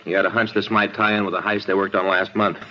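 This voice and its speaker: An actor playing a plainspoken, clipped police detective in a 1950s radio crime drama. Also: male voice, on the low side, level tone, fast, bright, forceful tone. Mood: businesslike. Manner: casual, clipped. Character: plainspoken male police detective, the businesslike partner. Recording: muffled, slightly noisy 1950s radio recording.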